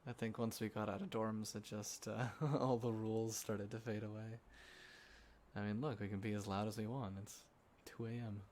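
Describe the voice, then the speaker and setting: A young man with a fairly low voice acted by a male performer rambles casually to a close friend he has missed. He is sentimental and soft-hearted, pausing and circling as he talks in an intimate audio roleplay. City ambience is audible behind him. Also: flat delivery, close to an everyday voice. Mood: wistful, tender, then amused. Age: young adult. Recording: clear background ambience noise. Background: quiet city ambience.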